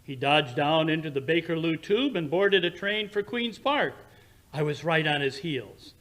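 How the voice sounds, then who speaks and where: A man playing a superior, impatient gentleman detective in a radio drama, speaking precisely and with condescension. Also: fairly high pitch, animated, measured pace. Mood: irritated. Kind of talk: 1940s radio drama acting.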